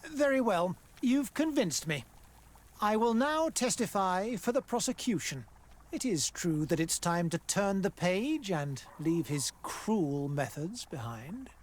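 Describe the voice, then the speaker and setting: A man's voice: high-pitched, very animated, unhurried, exaggerated voice. An actor playing a formal, pompous male scientist who runs a colonial laboratory in a fantasy game. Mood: conceding. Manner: formal, pompous, loftily dismissive. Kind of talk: scripted fantasy game acting.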